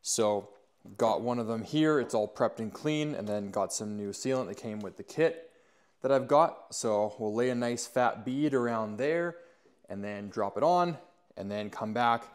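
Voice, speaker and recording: A North American man hosts a casual build vlog in a voice of average pitch. He talks informally to his viewers, with an upbeat manner and plain everyday phrasing. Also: unhurried, clear, resonant tone. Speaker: host of a truck build channel.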